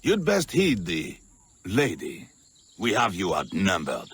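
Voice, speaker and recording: An actor playing an older, roguish smuggler in a video game, playful and theatrical. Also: male voice, sits low, huge pitch swings, slow pace, a bit bright, husky, no room sound, full character voice. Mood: warning. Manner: playful, hammy, gallant.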